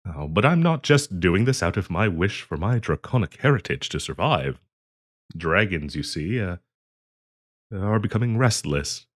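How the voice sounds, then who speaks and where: Man playing half-dragon broker and diplomat for fantasy roleplay audio: lowish voice, very animated pitch, polite, earnest, hesitant. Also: exaggerated voice. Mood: grave, somber. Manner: hesitant, polite, halting with frequent ums and soft chuckles. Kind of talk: roleplay audio acting, addressing the listener.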